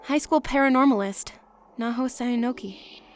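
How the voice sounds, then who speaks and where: A woman's voice: fairly high pitch, normal speed, close to an everyday voice. A woman voicing an earnest, emotional teenage schoolgirl in an anime-style game dub.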